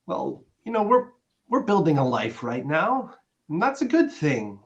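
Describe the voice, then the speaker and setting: A man's voice: on the high side, big pitch swings, measured pace. A man acting an earnest, awkward, conventional boyfriend with a hesitant delivery, in a dramatic script reading. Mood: awkwardly upbeat. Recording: dull, lo-fi sound.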